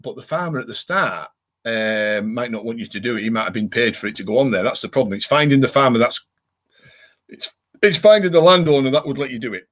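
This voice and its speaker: Cumbrian male host, casual rambling live chat with viewers, average pitch. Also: expressive, fairly quick, a bit bright. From Cumbria, England. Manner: rambling, chatty, repeats catchphrases. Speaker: livestream host.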